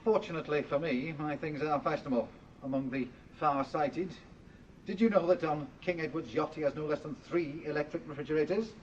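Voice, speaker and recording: An actor playing an earnest, proud, eccentric inventor with a Northern English accent and clipped phrasing, in a radio drama. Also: male voice, a little high, fairly quick, close to an everyday voice. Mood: proud, precise. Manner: zealous, clipped, drops articles. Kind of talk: British radio drama acting. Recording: dull, noisy old radio drama recording.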